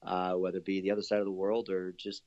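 A man's voice: low-pitched, completely flat delivery, fast, a little mellow, no echo, dull audio. A man from Canada, a guest in a casual interview, upbeat and chatty with plenty of fillers and laughter.

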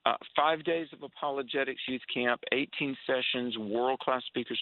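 A man's voice: mid-range voice, normal speed. Eager man on a phone line. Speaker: guest. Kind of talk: phone-in radio chat.

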